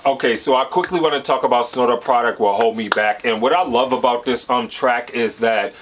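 An American man in his thirties talks at a middle pitch in a loose, excited reaction-video style. He gushes and circles back on himself, packing his sentences with "like" as he searches for the right words. His voice sits right in the everyday middle range for a man. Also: a little fast, noisy background. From United States. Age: 30s. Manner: enthusiastic, rambling with many "like" fillers. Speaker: music reaction host. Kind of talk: casual reaction commentary.

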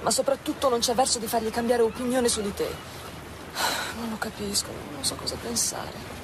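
An actress playing an earnest, determined young student daughter in love, in an Italian radio drama. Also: female voice, a little high, steady tone, moderate pace, natural voice. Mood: troubled, resolute. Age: young adult. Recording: radio drama with clear ambient noise.

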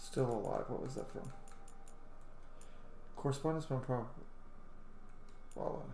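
A man's voice: mid-range voice, unhurried, mellow. A man in his thirties hosting a gaming video with casual let's play commentary. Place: small room indoors at home. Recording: roomy echo, faint background noise.

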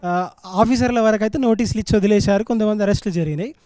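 A man's voice: high-pitched, fast, warm tone, dry recording. A man in his thirties questioning an interview guest as a journalist, speaking into a handheld microphone in a TV studio. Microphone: handheld mic.

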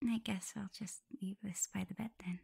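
A very breathy, mellow whisper from a shy omega werewolf in love acted by a woman. She speaks tenderly and close, teasing a little and doubting herself, in an intimate ASMR roleplay. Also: medium pitch, steady tone, moderate pace, heavily acted. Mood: gentle concern.

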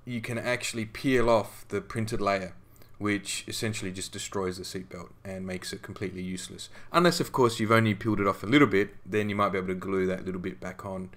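A man narrating a scale modelling tutorial as a voice-over, relaxed and conversational with frequent ums and restarts. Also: lowish voice, a little fast, hardly any pauses.

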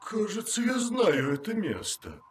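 An actor voicing a forgetful, solemn ancient robot creature in a dubbed children's cartoon, speaking haltingly. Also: mid-range voice, huge pitch swings. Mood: puzzled. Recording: music behind the voice.

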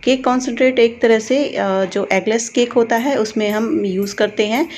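A woman's voice: on the high side, steady tone, moderate pace, darkish tone, a little hiss or hum. A woman giving informal step-by-step instructions as a cooking channel host. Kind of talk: recipe voice-over, step-by-step instruction.

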